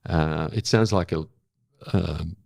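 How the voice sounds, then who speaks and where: An older man, a guest in a reflective conversation, speaking haltingly and thoughtfully as he searches for words. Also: low-pitched, creaky, a little mellow, no room sound. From Australia. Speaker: interview guest. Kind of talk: reflective long-form conversation.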